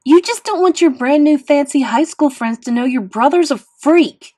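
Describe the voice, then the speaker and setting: Audiobook part: woman reader as a hurt young boy, accusing, raised and forceful, climbing high when roused. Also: animated, a little slow, no echo, studio quality. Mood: furious. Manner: accusing, shouting in outbursts. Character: angry, hurt young boy, shrieking at his family.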